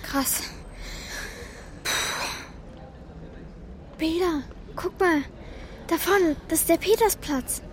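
A woman's voice: very high voice, unhurried, a little hiss or hum. A young girl actor playing an outspoken, feisty girl in a German children's radio play, talking bluntly and in slang. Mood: excited. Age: child or young teen girl. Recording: faint music behind the voice.

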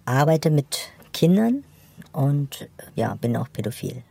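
A man of about forty speaks haltingly with fillers, his voice gravelly and dark under anonymizing distortion. The sound is rough and husky, as in a documentary interview. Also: mid-range voice, sing-song. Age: around 40.

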